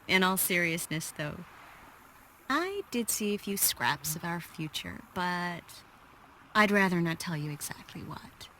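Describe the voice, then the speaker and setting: An actress playing an affectionate, worldly sorceress in a video game, speaking softly and teasingly to her lover. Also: fairly low pitch, animated, measured pace, background noise. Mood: playful, intimate. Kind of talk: video game cutscene acting.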